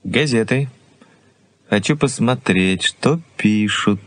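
Radio drama role: idealistic young fiancé, fairly low voice, measured, earnest, a little grumbling. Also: male voice. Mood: troubled, earnest. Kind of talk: Soviet radio play acting.

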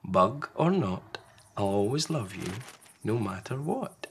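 A man's voice: lowish voice, very animated, slow pace, gravelly, slight background noise, heavily acted. A man voicing a big, patient, loving parent fox in a storybook, tender and reassuring.

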